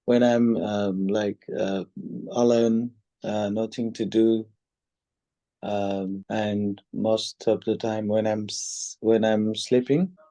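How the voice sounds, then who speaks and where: A man from Nepal answering speaking test questions, hesitant with many pauses and restarts. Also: on the low side, little pitch movement, warm tone, no room sound. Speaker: test candidate. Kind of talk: mock speaking exam answers.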